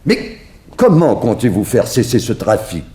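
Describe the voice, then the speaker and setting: An actor in a 1960s radio serial playing a flustered, effusive, exacting fashion designer, with theatrical stammered exclamations. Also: male voice, mid-range voice, big pitch swings, a little slow, warm tone. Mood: flustered, protesting.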